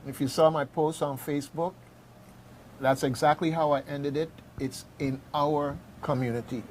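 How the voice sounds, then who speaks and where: A man's voice: fairly high pitch, steady tone, moderate pace. Male talk show host with background noise. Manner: informal, jocular, repeats phrases. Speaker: radio host. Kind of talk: casual radio discussion.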